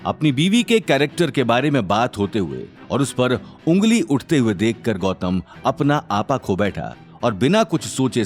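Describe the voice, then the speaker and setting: A man narrating a scripted drama serial in a dramatic storytelling style. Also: medium pitch.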